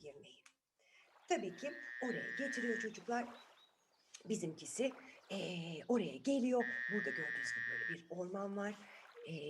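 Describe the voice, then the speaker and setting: A woman hosting a children's story channel, telling a fairy tale in an expressive, chatty way and putting questions to her young listeners. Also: mid-range voice.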